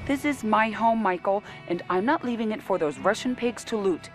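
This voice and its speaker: A woman's voice: mid-range voice, normal speed, faint music behind, natural voice. Actress as a stubborn, anxious middle-aged mother. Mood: defiant. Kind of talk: dramatic phone conversation.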